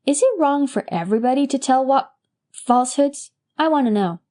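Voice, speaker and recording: A woman's voice: high-pitched, lively intonation, normal speed, dark tone, pitched above the performer's own voice. A woman doing a mischievous little boy. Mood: curious. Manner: childish, pleading, colloquial. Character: mischievous six-year-old boy, blunt and earnest.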